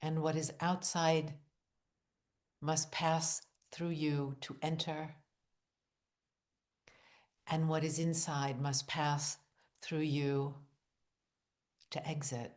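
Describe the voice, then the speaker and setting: A woman leading a guided visualization, speaking in deliberate short phrases with long pauses, in a hushed, soothing, incantatory way. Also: deep voice, level tone. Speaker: guided meditation leader. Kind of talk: guided visualization, meditative narration.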